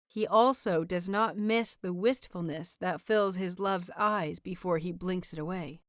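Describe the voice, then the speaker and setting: A woman's voice: lowish voice, a little slow, no room sound. Woman narrating a story, dry close sound. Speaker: narrator of an audio fanfiction series.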